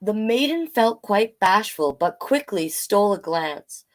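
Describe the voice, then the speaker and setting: Woman doing kids storytime, takes it slow, reads then chats a bit, mid pitch. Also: expressive, a little hiss or hum. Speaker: children's storytime reader and host. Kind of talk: reading a picture book aloud with chatty asides.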